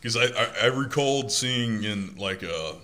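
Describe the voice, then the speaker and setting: A man co-hosting a podcast, talking casually, blunt and opinionated with gruff mock outrage. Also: medium pitch, animated, a little slow, pushed and bright, a little hiss or hum. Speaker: podcast co-host. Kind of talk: casual chat.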